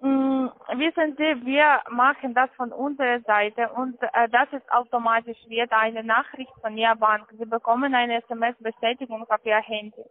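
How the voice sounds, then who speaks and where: A woman making an insistent call centre call, pushy and repetitive, with halting non-native phrasing. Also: a little high, little pitch movement. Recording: tinny narrowband phone line.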